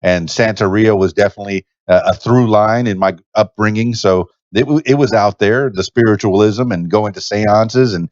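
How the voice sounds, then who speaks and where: A man's voice: on the low side, moderate pace, hardly any pauses, darkish tone, dry recording. A man from Miami with Cuban parents, hosting a casual podcast chat, hesitant and stammering, often calling his guest "man". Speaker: podcast host. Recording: dry, dull lo-fi audio.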